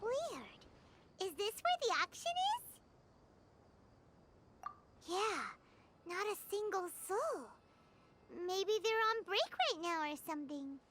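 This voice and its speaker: A woman voicing a tiny, chirpy, excitable fairy companion with a squeaky childlike voice in a video game. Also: slow, pauses often, background noise, full character voice. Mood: puzzled. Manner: refers to self in third person, exclamatory. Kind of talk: cartoonish video game voice acting.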